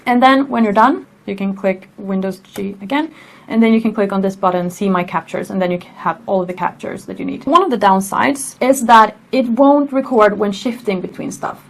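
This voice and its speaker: A woman's voice: mid-range voice, brisk. Swedish-accented woman giving a tutorial. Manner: clear, step-by-step instructional delivery. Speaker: tutorial presenter. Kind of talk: scripted tutorial narration.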